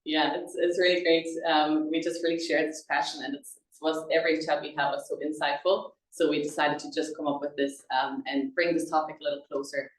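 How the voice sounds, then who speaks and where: A German woman with a low voice presents a webinar in an informal, chatty way. Her German accent comes through a roomy, echoing recording, picked up at a distance from the microphone. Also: flat delivery, a little fast, hardly any pauses. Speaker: recruitment consultant co-hosting a webinar.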